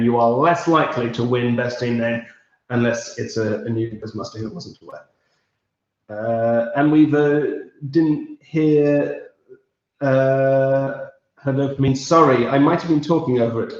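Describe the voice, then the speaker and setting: Chatty male quiz host, roomy sound. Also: medium pitch. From Belgium. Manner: chatty and meandering, friendly asides. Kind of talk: casual livestream chat, reading out messages. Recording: roomy, slightly dull audio.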